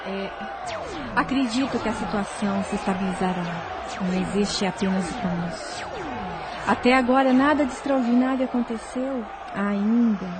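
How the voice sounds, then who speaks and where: A woman playing a venerable spiritual leader in an audio drama, earnest, deliberate and formal. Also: mid-range voice, noisy background, close to an everyday voice. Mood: earnest, concerned.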